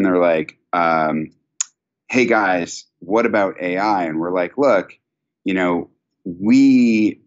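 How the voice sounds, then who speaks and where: A middle-aged man answering business questions conversationally as an executive guest, thoughtful and halting, over a video call. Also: sits low. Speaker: company chief executive, guest. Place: indoor office, video call. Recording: dull video call audio.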